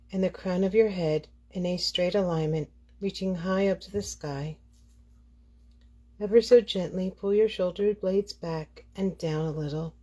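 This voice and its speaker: A woman leading a guided meditation as the guide, speaking in deliberate phrases with frequent pauses. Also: lowish voice, warm tone.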